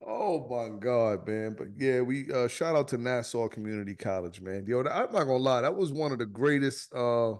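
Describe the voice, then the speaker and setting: A man's voice: mid-range voice, moderate pace, hardly any pauses. A man in his thirties hosting a podcast, telling stories in loose, slangy, profane chat. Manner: slangy, profane, animated storytelling. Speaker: podcast host.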